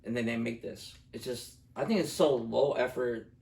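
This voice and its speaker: Young man, echoey, gravelly and blunt. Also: medium pitch, normal speed, a little mellow. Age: young adult. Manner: blunt, opinionated, jokey. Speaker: co-host of a movie review show. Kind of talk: casual, profane two-person movie review chat. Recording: roomy echo, distant from the mic.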